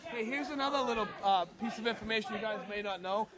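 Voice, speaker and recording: A man with a high-pitched voice commentating on a wrestling match as the show's owner, smug, boastful and insulting about the fans and the wrestlers. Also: quick pace. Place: wrestling club. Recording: loud crowd behind.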